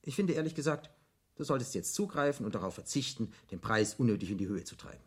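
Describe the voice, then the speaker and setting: An actor playing an eloquent devil in a radio drama, arguing in emphatic, elaborate rhetoric as a persuasive tempter. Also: male voice, mid-range voice, expressive, fast talker, husky.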